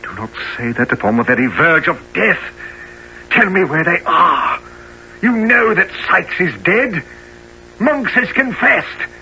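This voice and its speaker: An actor playing a respectable gentleman in a radio play, formal, earnest and insistent. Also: high voice, very animated, unhurried, lo-fi sound, slight background noise, exaggerated voice. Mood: urgent pleading. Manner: formal, urgent.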